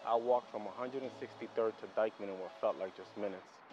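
Male actor as troubled man, low voice, brooding poetic monologue, emotive pauses, roomy echoing amateur film sound. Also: fairly even intonation, a little mellow, dull audio, slight background noise, lightly acted. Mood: brooding, reminiscing. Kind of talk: dramatic film monologue with rhymed spoken-word poetry.

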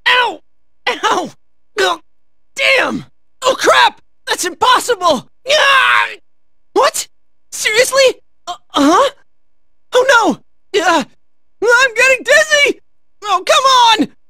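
An American man playing a cocky, excitable teenage boy in a video game, barking out brash, high-strung battle lines. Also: slow pace, pauses often, no echo, clean studio sound, heavily acted. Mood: panicked, strained.

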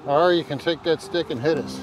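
A man's voice: a little high, a little fast, low-quality recording. A man chatting informally and informatively outdoors as a host and guide. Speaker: host and guide at a historic house. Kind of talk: casual informative chat. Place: outdoors on a lawn. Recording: background music over voice.

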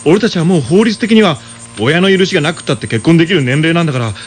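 An actor playing a cocky, earnest young suitor who is easily rattled, in a comic radio drama. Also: male voice, a little high, expressive, quick pace, faint music behind, close to an everyday voice. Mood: indignant. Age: young adult. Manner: boastful, wheedling.

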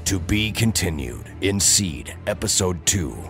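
A man's voice: deep voice, animated, measured pace. A man reading dramatic scripted narration as the narrator of an audio drama. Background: music and sound effects.

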